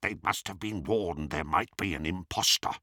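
A storybook goblin conspirator voiced by a man, bright and tense. He whispers with urgency, as an accomplice passing on secret warnings. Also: low voice, moderate pace, no echo, clean studio sound, pitched below the performer's own voice. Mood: wary.

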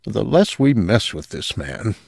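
Audiobook role, male narrator: firm, pragmatic male boss, cautious, lowish voice, dry recording. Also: very animated, a little slow, vocal fry, darkish tone, breathy edge, no echo, exaggerated voice. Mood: wary, resigned.

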